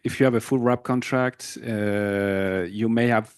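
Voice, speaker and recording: A man speaking as a panelist at an industry forum, slow and hesitant as he thinks aloud. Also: lowish voice, steady tone, smooth.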